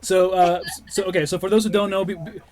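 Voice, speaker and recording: A man's voice: high-pitched, level tone, quick pace, background noise. A man hosting a casual webcast, talking in a rambling way with restarts and fillers. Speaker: host.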